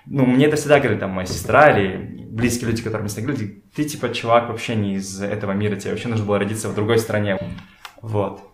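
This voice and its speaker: A young man talks casually in an echoey room. He searches for his words and drops in slangy asides, like a guest in a relaxed interview. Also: fairly low pitch, rapid-fire. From Kazakhstan. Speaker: podcast guest, photographer and art director. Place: indoors in a home.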